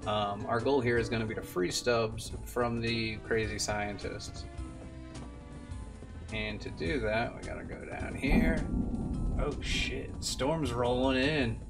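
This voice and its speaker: A man's voice: mid-range voice, takes it slowly. A young man, a game streamer, giving casual speedrun commentary. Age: young adult. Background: game music.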